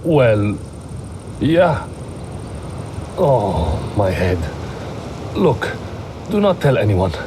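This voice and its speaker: A man's voice: fairly low pitch, sing-song, drawn-out words, pauses often, noisy background, exaggerated voice. An actor playing a groggy, self-pitying drunk male slave owner who talks in a slurred, rambling way.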